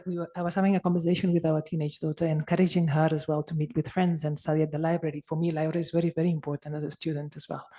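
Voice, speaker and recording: A woman's voice: sits low, warm tone. A woman in her forties from Barcelona, Spain, with a Spanish accent, speaking up in a conference discussion in a hesitant way, with many fillers and restarts. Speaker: conference participant. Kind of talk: spontaneous discussion contribution.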